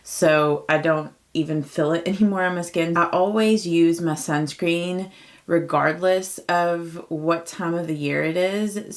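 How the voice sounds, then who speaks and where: A woman in her late thirties with a slightly low, faintly raspy morning voice walks through a makeup routine. She talks in a chatty, upbeat way with plenty of fillers. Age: 30s. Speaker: beauty vlogger. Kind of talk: casual get-ready-with-me chat.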